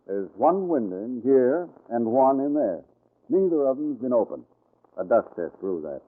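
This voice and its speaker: An actor playing a confident, dismissive police inspector, assertive and matter-of-fact, in an old-time radio crime drama. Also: male voice, mid-range voice, big pitch swings, moderate pace. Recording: muffled, narrow-band vintage radio recording.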